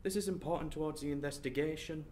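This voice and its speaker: A man's voice: fairly high pitch, fast talker, a little mellow, slight background noise, lightly acted. A man playing an earnest, exasperated investigator, a detective's indignant companion, in an audio drama. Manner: indignant, questioning.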